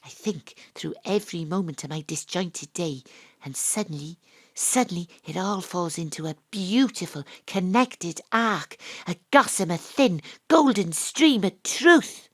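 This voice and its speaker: A sardonic woman private eye in a detective parody audio drama. Her voice sits fairly low and the sound is dry and close. She delivers everything with knowing, theatrical flair. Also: expressive, a little slow, fairly bright tone, no echo. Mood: dramatic, confiding. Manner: dramatic, knowing first-person storytelling. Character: brisk, theatrical female private investigator, sweary and sardonic. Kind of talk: audio drama narration and dialogue, hard-boiled detective parody.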